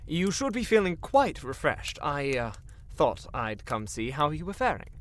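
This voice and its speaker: A man's voice: fairly high pitch, very animated, normal speed, a bit bright. A man playing a nervous, bumbling, obsequious enchanter who stammers and fawns in a fantasy audio drama. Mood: apologetic. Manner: stammering, fawning, flustered.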